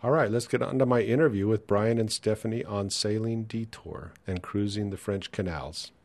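A man's voice: on the low side, normal speed, a little mellow, no room sound. A man hosting a podcast, talking casually and chattily to his listeners. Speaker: podcast host and interviewer. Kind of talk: casual podcast talk, reading listener reviews and announcements.

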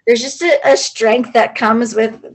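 A woman from New Jersey, a teacher, chatting as a podcast guest over a remote call, bubbly and quick to laugh. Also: on the high side, steady tone. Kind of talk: casual podcast chat.

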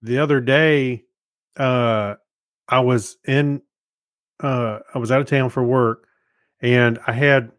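A man's voice: mid-range voice, fairly even intonation, slow pace, long pauses, a bit bright, dry recording. Casual male co-host, dry sound. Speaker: podcast co-host. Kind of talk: casual chat.

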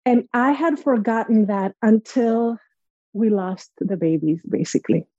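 A woman's voice: on the high side, expressive, measured pace, warm tone, no room sound, muffled recording. A young woman from Greece telling a personal story as a podcast guest, earnest and reflective. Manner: earnest, reflective, acts out quoted voices.

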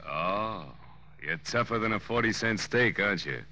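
Haughty, mocking bandleader type, lowish yet very hard-edged, old radio drama with faint noise. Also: male voice, expressive, moderate pace, bright, forceful tone, lo-fi sound. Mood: haughty, taunting. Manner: pompous, taunting. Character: arrogant, mocking male jazz trumpeter. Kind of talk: 1940s radio noir acting.

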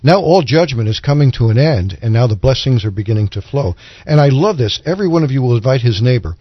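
A man's voice: mid-range voice, lively intonation, fast talker, slightly rough, no room sound, highs cut off. A man in a conversational Bible discussion, explaining points earnestly and sometimes answering his own questions. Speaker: religious podcast panelist explaining Bible prophecy.

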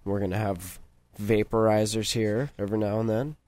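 A man with a gruff voice hosting a casual podcast chat, rambling loosely and laughing as he talks. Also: on the low side, steady tone, normal speed, darkish tone, dry recording. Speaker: podcast host.

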